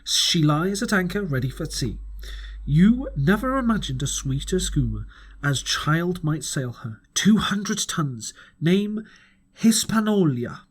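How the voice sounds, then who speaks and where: Man reading as an excitable boastful squire, swooping pitch. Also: takes it slowly, slightly rough, heavily acted. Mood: eager, pleased. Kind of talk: storybook letter read aloud.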